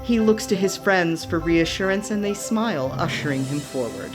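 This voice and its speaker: A woman's voice: on the low side, lively intonation, normal speed. A woman narrating a radio play from a script, setting out each scene as storyteller. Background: music.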